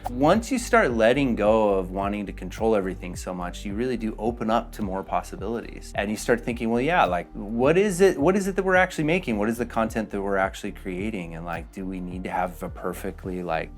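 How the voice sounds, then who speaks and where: Chatty man rambling about kitchen gear. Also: fairly low pitch. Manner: chatty, rambling, playful asides. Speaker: co-host. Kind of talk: casual vlog chat. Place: home kitchen.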